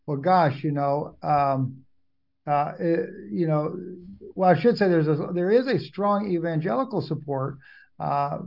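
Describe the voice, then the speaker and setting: A man's voice: on the high side, highs cut off. A man speaking as an interview guest, giving discursive conversational answers and often backtracking to rephrase. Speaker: interview guest, Bible prophecy commentator.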